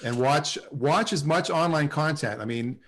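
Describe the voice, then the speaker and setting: A man hosting a relaxed group chat over a video call, speaking conversationally. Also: a little high, a little slow. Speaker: host of an online show.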